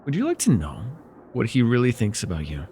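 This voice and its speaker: A taunting, flirtatious villain of nightmares, acted out by a man for fantasy ASMR. The mid-pitched male voice is theatrical and very animated, teasing with mock sweetness. A little background noise sits under the recording. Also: a little fast. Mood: sly, teasing. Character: villainous nightmare lord, sly, taunting, flirtatious dream invader. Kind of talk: ASMR fantasy roleplay acting.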